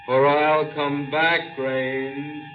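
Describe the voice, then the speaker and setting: An actor playing a vengeful, menacing ghost in an old radio horror play, drawing out ominous threats. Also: male voice, a little high, level tone. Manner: slow, drawn-out, ominous threats. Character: vengeful ghost of a slain man, slow and menacing. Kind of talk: 1940s radio horror acting. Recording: muffled old radio recording with music.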